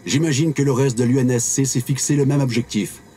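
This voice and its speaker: An actor playing a purposeful supersoldier in a video game, speaking in a matter-of-fact way. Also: male voice, mid-range voice, a little fast, warm tone, a little hiss or hum, natural voice. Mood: resolute. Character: purposeful male supersoldier reporting on duty. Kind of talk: video game character acting.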